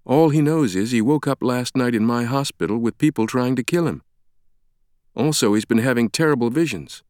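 A man voicing a brisk, blunt woman doctor in an audiobook, direct, assertive and matter-of-fact. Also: medium pitch, a little mellow, no room sound, studio quality, voice pushed up, natural voice. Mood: urgent. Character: brisk, blunt young woman doctor who takes charge.

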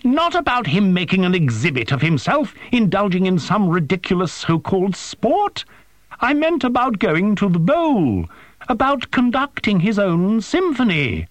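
A man's voice: high voice, wildly swooping pitch, slow pace, no room sound, voice pushed up, exaggerated voice. A man voicing a fussy, indignant male machine in an audiobook, exasperated, sputtering and scolding. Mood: screeching exasperation. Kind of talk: audiobook character voice.

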